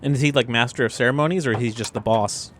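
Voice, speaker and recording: A man's voice: medium pitch, fast talker, fairly bright tone, a little hiss or hum. A man chatting casually and jokily as a tabletop roleplaying player, in a rambling, hesitant way. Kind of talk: casual improvised game chat.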